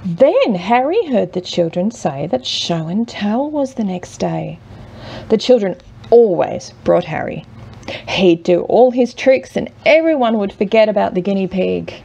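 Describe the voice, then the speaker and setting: A woman reading a children's picture book aloud as a mother would, expressively and with dramatic pauses. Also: medium pitch, very animated, creaky, slightly rough. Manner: measured, with dramatic pauses and hushed drawn-out words. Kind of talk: expressive children's storybook narration.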